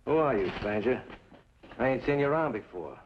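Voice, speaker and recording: An actor playing a taunting, folksy bully of a saloon tough in an old western. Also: male voice, mid-range voice, animated, a little fast, natural voice. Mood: menacing. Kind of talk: old western screen drama acting. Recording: dull, noisy old film soundtrack.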